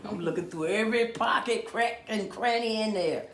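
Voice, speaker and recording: A woman's voice: medium pitch, normal speed, echoey room, close to an everyday voice. A woman playing a worried mother in her fifties in a role-played family therapy session, talking hesitantly and searching for words. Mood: earnest. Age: 50s. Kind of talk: improvised role play.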